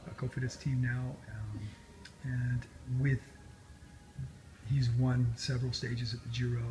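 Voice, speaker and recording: A man interviewing a cyclist, friendly and quick to laugh, asking long questions full of fillers. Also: medium pitch, flat delivery, dark tone. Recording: noticeable background noise.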